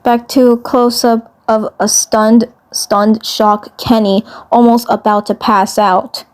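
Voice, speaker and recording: Slow, halting woman narrator. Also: fairly high pitch, little pitch movement. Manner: halting, repeats phrases while reading. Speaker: fan fiction narrator. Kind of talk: storytelling narration.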